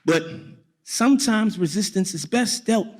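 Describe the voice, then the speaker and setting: Higher-voiced man telling a wry story. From New York, United States. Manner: deliberate, wry, dramatic pauses. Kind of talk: live stage storytelling.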